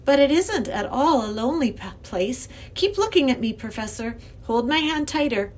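A woman voicing an earnest, excitable young girl who pleads and insists, in an acted children's storybook reading. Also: high voice, moderate pace, pitched above the performer's own voice. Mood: coaxing.

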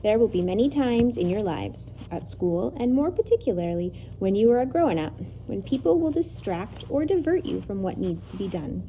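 A tired, kindly old professor speaks slowly and gently, as a woman reading the story puts him on. His voice is soft and mellow, close to the narrator's own, with a patient lecturing tone from a storybook. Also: medium pitch, lively intonation, slight background noise, natural voice, rough recording. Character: weary, wise old male professor.

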